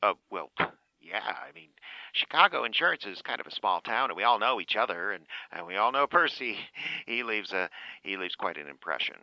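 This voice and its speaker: A jittery, stammering insurance man who trips over his words while his voice leaps up and down. The tone is bright and edgy. A man acts him out in a dry, close radio-play recording. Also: very animated, moderate pace, gravelly, no echo, exaggerated voice, rough recording. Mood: nervous. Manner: stammering, flustered, jumpy pitch. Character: nervous, stammering male insurance man, smitten. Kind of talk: amateur radio play acting.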